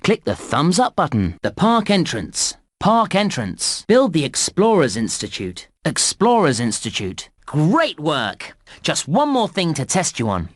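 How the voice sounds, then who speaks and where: An upbeat theme park helper for a kids game, super lively pitch, encouraging, dry close sound. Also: male voice, unhurried, no echo, exaggerated voice. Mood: clear, instructive. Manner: encouraging, instructive, clearly enunciated. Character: cheerful, encouraging male theme park guide. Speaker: game narrator and tutorial guide. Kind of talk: scripted children's game narration.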